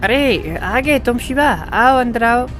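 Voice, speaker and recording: A woman's voice: on the high side, slow, fairly bright tone, soft background music. A woman playing a worried, protective widowed mother who pleads in a melodramatic story. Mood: sorrowful, tender. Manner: anxious, pleading.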